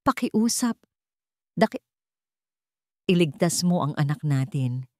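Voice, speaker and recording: A woman's voice: low voice, lively intonation, takes it slowly, no echo, clean studio sound. A woman narrator voicing a desperate mother who pleads near tears for help. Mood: pleading. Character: desperate mother of a sick child.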